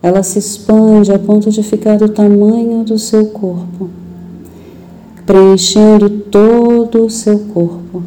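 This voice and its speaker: A Brazilian woman leading a guided meditation in a soothing, gentle, unhurried manner with long pauses. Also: mid-range voice, poor audio.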